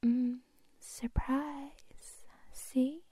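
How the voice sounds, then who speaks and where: A woman's voice: high voice, fairly even intonation, extremely slow, warm tone, a little hiss or hum. A woman whispering in a teasing way, playing a girlfriend in an intimate audio roleplay.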